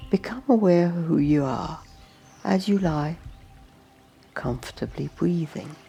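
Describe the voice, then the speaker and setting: A woman guiding a meditation, speaking gently in short, spaced-out instructions. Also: deep voice, expressive, gravelly, highs cut off. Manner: gentle, unhurried instructions in short phrases with long pauses. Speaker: meditation guide. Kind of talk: slow guided meditation narration. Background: music and nature sounds.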